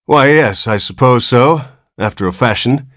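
A man's voice: on the high side, big pitch swings, normal speed, breathy edge, pitched above the performer's own voice. A man voicing an irritable, harsh old family doctor who speaks sharply and cuttingly, raised and indignant. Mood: irritated.

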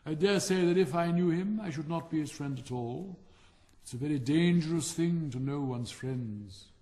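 A man's voice: fairly high pitch, normal speed, mellow, natural voice. A man voicing a pompous, self-pitying firework rocket in a storybook, haughty and melodramatic, with a Received Pronunciation accent. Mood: indignant. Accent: English Received Pronunciation. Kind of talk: theatrical storybook character reading.

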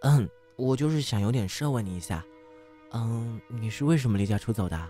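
An actor playing a playful yet wounded, proud young man in an expressive audio drama style. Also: medium pitch, brisk, soft background music, close to an everyday voice. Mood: playful, coaxing. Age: young adult. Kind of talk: Chinese audio drama acting.

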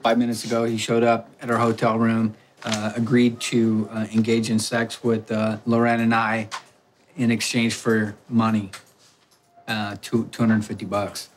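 A male undercover deputy recapping an arrest to the camera in a plain, measured way with some fillers. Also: fairly low pitch, completely flat delivery, slight background noise.

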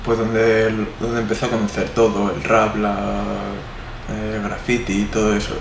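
Rambling young Spanish man, flat voice. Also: on the low side, unhurried. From Spain. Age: 20s. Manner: rambling, many fillers and restarts. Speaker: interviewee, artist. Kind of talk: casual interview answers. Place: indoor room. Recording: echoey room, noisy.

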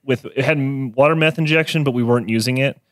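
Male podcast guest, twenties, casual mechanic chat, medium pitch, close dry studio mic. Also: quick pace, no echo. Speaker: guest mechanic and car tuner. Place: small garage-styled podcast studio. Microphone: close studio mic on boom arm.

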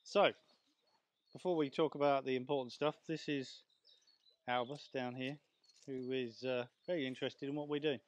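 A man's voice: medium pitch, steady tone, moderate pace, pauses often, noisy background. A middle-aged Englishman with a chatty, rambling manner, presenting lawn care outdoors in a large garden on a lapel mic. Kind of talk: casual outdoor demonstration. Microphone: clip-on lapel mic.

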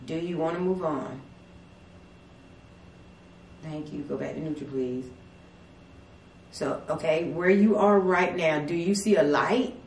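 A woman, leading a paranormal investigation and asking a spirit slow, polite questions. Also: deep voice, a little mellow, a little hiss or hum. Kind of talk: spirit communication session. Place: dim salon at night. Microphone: far camera. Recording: echoey room from far off.

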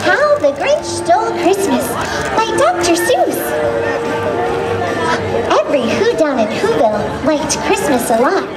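A woman's voice: extremely high pitch, big pitch swings, measured pace, roomy sound, loud music behind the voice, a loud crowd, full character voice. An actress playing a cheerful little storybook girl, speaking in bubbly, exaggerated sing-song rhyme for children at an outdoor stage show. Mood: storytelling. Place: outdoor theme park stage.

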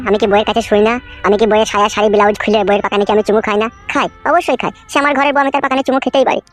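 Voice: medium pitch, natural voice. A performer voicing a crude, wheedling, lovestruck younger brother-in-law in a comic cartoon.

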